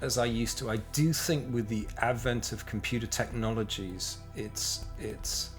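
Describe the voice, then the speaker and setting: A middle-aged American man speaks loosely on a podcast, circling his thoughts with restarts and blunt, profane asides. His voice sits at an ordinary pitch, and faint music plays underneath. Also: unhurried. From United States. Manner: rambling, with many restarts and self-corrections. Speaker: podcast guest. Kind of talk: casual interview chat.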